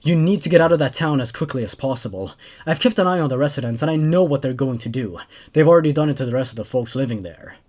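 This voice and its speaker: A man voicing a frantic male acquaintance in a horror story, pleading urgently in a hurried warning. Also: medium pitch, a little mellow, natural voice. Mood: urgent, alarmed.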